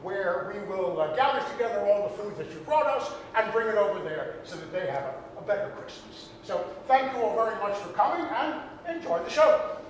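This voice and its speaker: A man's voice: sits high, big pitch swings, brisk. A man introducing a show as host in an informal welcome speech. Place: performance hall stage. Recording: very echoey hall, distant, faint noise.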